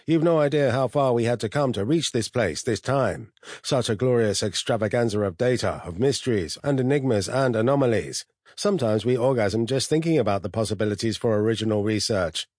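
A man voicing a disdainful, boastful super-intelligent computer in a loud, sharp, insulting manner for an audiobook. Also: mid-range voice, fairly quick, no room sound, studio quality, pitched above the performer's own voice, close to an everyday voice. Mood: rapturous. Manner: haughty, insulting.

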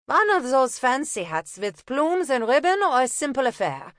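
A woman's voice: high-pitched, very animated, moderate pace, fairly bright tone, dry recording, studio quality, voice pushed up. A woman doing a sly thief with a fake German accent. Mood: sly innocence. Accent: put-on German. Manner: sly, feigning innocence. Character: young woman thief disguised as a hunched rag picker.

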